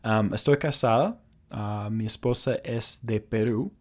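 A man's voice: lowish voice, measured pace, darkish tone. A young American man with an English-speaker accent, hosting a casual personal podcast in earnest, careful non-native phrasing with small slips. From United States. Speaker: podcast host.

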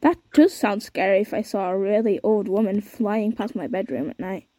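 A woman's voice: mid-range voice, fairly even intonation, moderate pace, warm tone, no room sound. A young woman hosting a podcast, chatting casually and reading aloud with lots of fillers and unsure asides. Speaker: podcast host.

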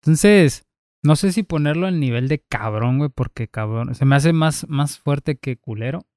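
A man's voice: fairly high pitch, lively intonation, darkish tone, no room sound, clean studio sound. A young man with a Mexican accent, co-hosting a casual podcast chat full of slang. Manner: slow, drawn-out, casual slang. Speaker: podcast co-host.

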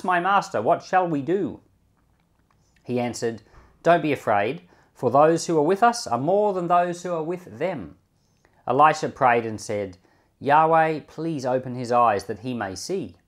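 A man speaking as a pastor and Bible podcast host, reading scripture expressively and commenting on it informally. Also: medium pitch.

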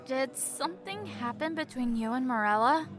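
An actress playing a concerned, frank friend in an audio drama. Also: female voice, high voice, lively intonation, normal speed, a bit bright, soft background music. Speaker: voice actor.

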